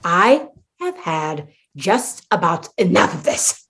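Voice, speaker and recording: A woman's voice: fairly low pitch, big pitch swings, slow, fairly bright tone, breathy edge, full character voice. An actress playing an exasperated, sarcastic young woman stuck in a sitcom world, in a comedic radio play. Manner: sarcastic, incredulous.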